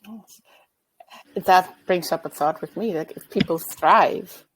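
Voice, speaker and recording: A woman's voice: lowish voice, takes it slowly, slight background noise, poor audio. A woman speaking as a panelist in a discussion, earnest and now and then stumbling on a word.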